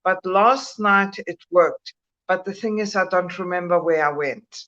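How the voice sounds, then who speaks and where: A woman with a mixed South African and Italian accent, a guest on a video call, telling a story slowly with many hesitations. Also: fairly low pitch, fairly bright tone. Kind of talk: casual chat, recounting a dream experience. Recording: muffled bad connection.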